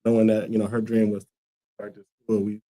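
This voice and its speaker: A man's voice: lowish voice, monotone, fast, warm tone. A man from Liberia, a guest on a talk show, who answers earnestly and thoughtfully with many fillers. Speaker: guest, school co-founder. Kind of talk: casual interview answers.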